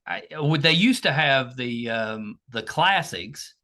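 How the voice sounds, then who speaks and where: A man's voice: medium pitch, lively intonation, slow, pushed and bright. A middle-aged man hosting a podcast, chatting casually and agreeably. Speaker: podcast host. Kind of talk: casual chat.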